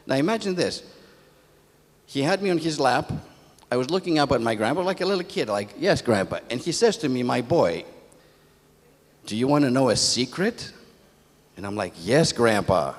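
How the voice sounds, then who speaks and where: An older man from Greece who moved to America, giving a motivational talk in conversational, storytelling phrases. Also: mid-range voice. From born in Athens, Greece, moved to America as a child. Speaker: conference speaker, positive psychologist. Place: theatre stage. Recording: echoey hall, long reverb.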